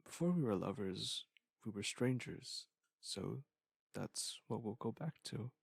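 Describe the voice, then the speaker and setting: A man acts out a wistful ex-lover with a low, gravelly voice, recorded close and dry. The delivery is halting and reflective, like an intimate audio drama confession left as a goodbye message. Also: lively intonation, no room sound, exaggerated voice. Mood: resigned, wistful. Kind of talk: intimate scripted audio monologue.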